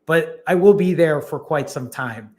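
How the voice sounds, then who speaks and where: A man's voice: on the high side, unhurried. Upbeat man coaching his live-stream viewers. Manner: talks straight to viewers, upbeat and encouraging. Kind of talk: casual live-stream chat reading comments.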